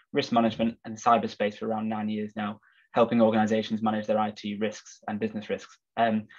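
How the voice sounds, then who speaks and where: A man hosting a business webinar, sounding husky from a cold, relaxed with frequent filler words. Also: on the low side. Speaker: webinar host. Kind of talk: corporate webinar presentation. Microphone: video call. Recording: slightly dull video call audio.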